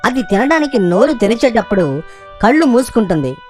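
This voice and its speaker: A clever, cheeky monkey from an animal cartoon. The voice is fairly high and very lively, over music. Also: female voice, a little fast. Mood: calculating. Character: clever, cheeky monkey who feigns friendliness. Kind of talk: children's cartoon story acting.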